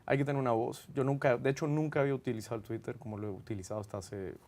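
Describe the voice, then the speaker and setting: A man's voice: medium pitch, fairly even intonation, racing, darkish tone. A man from Guatemala with a Guatemalan accent, a film director answering interview questions in an earnest, reflective way with frequent hesitations. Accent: Guatemalan Spanish.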